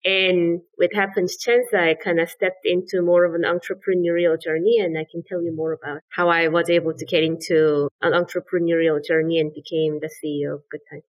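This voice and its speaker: A woman's voice: on the low side, flat delivery, a little fast, hardly any pauses, low-quality recording. A woman from South Korea, a startup founder, giving earnest, explanatory answers as a podcast guest. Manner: earnest and explanatory, with repeated "absolutely" and "so".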